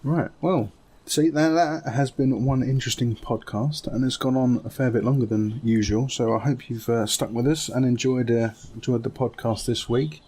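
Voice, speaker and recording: A man's voice: mid-range voice, moderate pace, dark tone, slightly rough. A man hosting a gaming podcast in a casual, conversational style. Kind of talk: casual chat.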